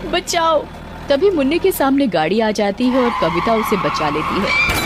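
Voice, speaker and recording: A woman narrator reading a scripted story. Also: mid-range voice.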